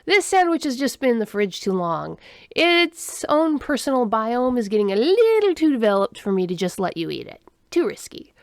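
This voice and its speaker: A woman's voice: fairly high pitch, very animated, moderate pace, no echo, clean studio sound, voice pushed up. A woman voicing an easygoing human man with a casual, slangy, offhand manner in a story. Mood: wary, casual. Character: easygoing human man, breezy friendly coworker.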